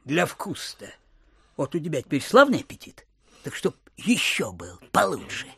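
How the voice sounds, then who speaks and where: An actor playing a miserly, suspicious old landowner in an audio drama, muttering and repeating his words in a querulous, husky voice. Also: on the high side, huge pitch swings, moderate pace, fairly bright tone, no room sound, clean studio sound, full character voice. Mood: scolding, bossy. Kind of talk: Russian audio drama acting.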